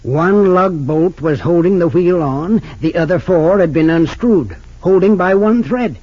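An actor playing a wealthy, suspicious father of sixty-eight in an old radio drama, earnest and slightly halting. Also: sits high. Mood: bitter, suspicious. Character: wealthy, suspicious 68-year-old father, bitter about his sons. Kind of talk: 1940s-50s radio drama acting. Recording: dull lo-fi old radio recording.

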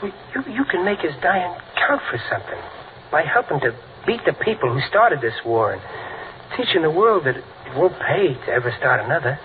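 A man's voice: on the high side, sing-song, a little fast. An American actor playing a kindly, plain-spoken working man in a 1940s radio comedy, with a folksy, hesitant, earnest way of talking. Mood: earnest, gentle. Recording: tinny, band-limited old radio with faint noise.

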